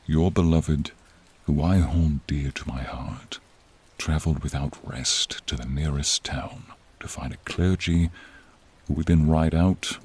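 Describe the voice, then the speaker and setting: A man voicing a noble, friendly silver dragon in a fantasy roleplay, deep and breathy, with solemn, old-fashioned courtly speech addressed to the listener. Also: darkish tone, full character voice. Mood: solemn, devoted. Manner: slow, archaic, solemn, addressing listener directly. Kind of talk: scripted fantasy ASMR roleplay. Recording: rain sound effects behind the voice.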